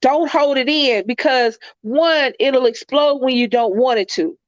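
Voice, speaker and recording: A congested woman talks earnestly in a casual chat, circling back over her own phrases as she reflects. Her voice sits high. The sound is dry and a little dull, with a lo-fi edge. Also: a little slow, no room sound. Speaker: co-host.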